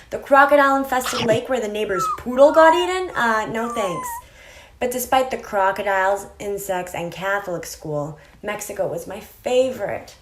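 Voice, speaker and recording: Brief: young woman, wry personal storyteller, roomy echo, light music bed. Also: lowish voice. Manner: wry, self-mocking. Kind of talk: comedic personal storytelling narration.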